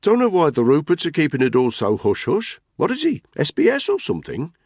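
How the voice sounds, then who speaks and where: A brash, needling middle-aged soldier with a raised, loud voice, taunting everyone around him, as a man puts him on. The tone is husky and gravelly with a dry sound. The voice belongs in an audiobook. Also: a little high, huge pitch swings, fairly quick, no room sound, voice pushed up, full character voice. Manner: mocking, provoking.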